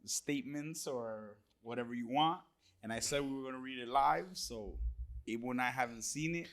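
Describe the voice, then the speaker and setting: A man's voice: medium pitch, moderate pace, fairly bright tone. A man in his early forties co-hosting a podcast, laid-back with dry comebacks. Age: 40s. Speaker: podcast co-host. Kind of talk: casual podcast banter.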